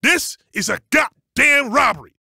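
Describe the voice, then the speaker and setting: Aggressive, profane male bank robber for a shooter game: high voice, streetwise, very dry close recording. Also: expressive, a little slow, gravelly, slightly rough, dry recording, exaggerated voice. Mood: menacing. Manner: forceful, profane, streetwise slang. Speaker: video game voice actor. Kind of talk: video game combat barks.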